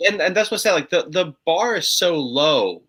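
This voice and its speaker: A man with a nasal voice, co-hosting a casual talk show and chatting in an animated way, with frequent stammered restarts and swearing. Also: fairly high pitch, moderate pace. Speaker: podcast co-host. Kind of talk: casual chat.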